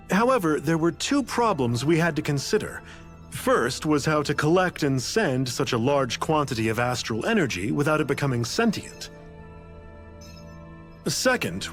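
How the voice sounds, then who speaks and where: A man's voice: on the high side, fairly bright tone. A man voicing a blithe, eccentric scientist in a video game, lecturing with theatrical, casual detachment. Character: eccentric, blithe male scientist, coolly explaining a grand scheme. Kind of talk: scripted video game voice acting.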